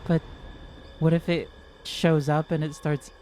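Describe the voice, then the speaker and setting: A man's voice: fairly high pitch, flat delivery, unhurried, dark tone, slight background noise, poor audio. A man playing a tabletop role-playing game, speaking in casual improvised in-character chat.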